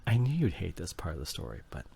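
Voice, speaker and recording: A man playing an injured, fading husband and father in an emotional ASMR audio drama, tender and intimate, with a breathy voice that often drops toward a whisper. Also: deep voice, wildly swooping pitch, fast, mellow, a little hiss or hum, exaggerated voice. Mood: weak, wistful. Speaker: audio roleplay voice actor.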